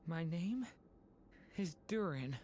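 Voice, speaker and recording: A woman voicing a lost, confused newborn dragon who talks haltingly. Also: fairly low pitch, lively intonation, warm tone, a little hiss or hum. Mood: weak, lost. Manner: halting, fragile and pleading. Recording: game soundtrack behind.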